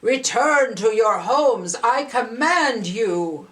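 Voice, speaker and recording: A woman's voice: fairly high pitch, lively intonation, slow. A woman voicing a majestic, noble male golden dragon in a storybook, with stern, solemn, commanding declarations.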